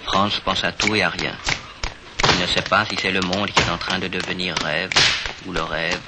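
A man reading a film's voice-over narration in a calm, even, literary manner. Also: low voice, moderate pace. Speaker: film narrator. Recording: loud hiss of an old film soundtrack.

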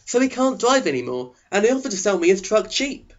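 An eager, awkward small-town dad acted out by a male reader, pitched up high. He is a middle-aged police chief who coaxes in an upbeat, halting way, storybook style, on a dull recording. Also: very animated. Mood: eager, evasive. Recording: dull, lo-fi sound.

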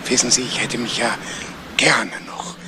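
An actor playing a solemn man who offers stiff condolences in a hushed, halting manner in a 1970s German crime radio play. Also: medium pitch, steady tone, background noise, close to an everyday voice. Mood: subdued.

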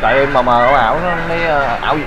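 A man's voice: mid-range voice, fast, over loud music. A man vlogging casually at a restaurant table, chatting and explaining the food to viewers. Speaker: vlogger host. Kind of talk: casual chat while eating. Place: busy restaurant, indoors. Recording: noisy restaurant phone recording. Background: loud restaurant noise.

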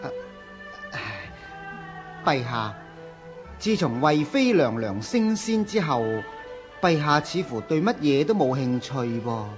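An actor playing a fawning, scheming palace eunuch in a historical radio drama, obsequious and coaxing. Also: male voice, on the high side, very animated, slow pace, pauses often, over music. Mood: flattering, consoling.

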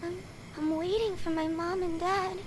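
A woman's voice: very high-pitched, steady tone, moderate pace, slight background noise. An actress playing a sad, lonely little girl ghost in a radio play.

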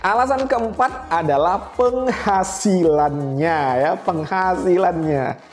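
Man in his thirties, slightly high voice, conversational explainer, rhetorical questions, light music behind. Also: slow. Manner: conversational, addresses viewers as friends with rhetorical questions. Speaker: air traffic controller and channel host. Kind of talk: explanatory talk to camera. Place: small indoor room, studio-like set. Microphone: clip-on lapel mic.

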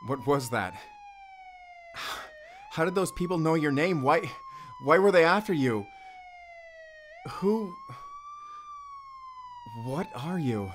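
Man acting high-voiced, panicky male witness. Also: huge pitch swings, very noisy, exaggerated voice. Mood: bewildered, alarmed. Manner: panicked, halting, pleading. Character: frightened, pleading male witness, bewildered. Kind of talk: audio drama acting.

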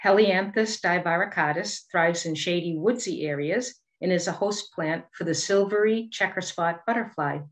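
Female presenter, middle-aged, Boston accent, lowish voice, measured scripted delivery for an educational slide talk on video call. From Boston area, United States. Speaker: master gardener giving a presentation.

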